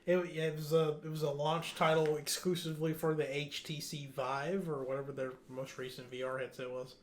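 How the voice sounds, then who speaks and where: A man's voice: on the high side, flat delivery, fairly quick, slight background noise. A man hosting a casual panel chat, running through news items in an informal way. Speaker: show host. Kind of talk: casual news roundup chat among friends.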